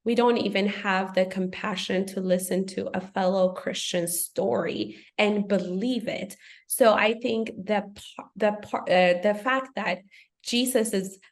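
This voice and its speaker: Woman from Ethiopia, podcast guest, earnest and reflective, mid-pitched voice. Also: steady tone, measured pace. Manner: thoughtful, earnest, with frequent fillers. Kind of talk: podcast conversation.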